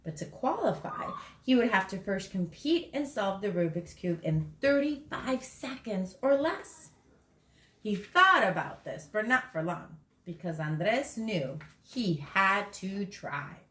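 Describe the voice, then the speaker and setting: Lady reading a kids book at school, bouncy sing-song delivery, medium pitch. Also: slow. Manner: lively and expressive. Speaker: school story reader. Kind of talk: reading a picture book aloud. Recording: echoey room.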